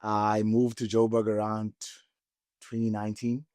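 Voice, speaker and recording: A man's voice: lowish voice, completely flat delivery, a little slow, a little mellow, no echo, clean studio sound. A man from Cape Town, South Africa, telling his story in a laid-back way as a podcast guest, often checking in with "right?". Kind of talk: reflective interview storytelling.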